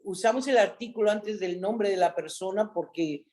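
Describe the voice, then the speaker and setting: A middle-aged Mexican woman talking as a guest in an interview. Her voice sits at a middle pitch for a woman. She tells her stories with animation and often tags her sentences with a quick "¿no?". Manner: animated storyteller, frequent "¿no?" tags. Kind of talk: casual interview chat.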